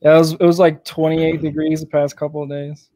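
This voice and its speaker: A man from Texas co-hosting a casual game podcast, talking through AirPods in a relaxed way full of "like". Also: a little high, brisk, no echo. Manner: relaxed, filler-heavy with lots of "like". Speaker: podcast co-host. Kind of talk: casual chat.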